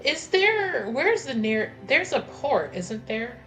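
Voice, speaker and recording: A woman's voice: high-pitched, big pitch swings, slow pace, fairly bright tone, soft background music. A woman chatting casually and bluntly as a player in a tabletop roleplaying game. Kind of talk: casual planning chat.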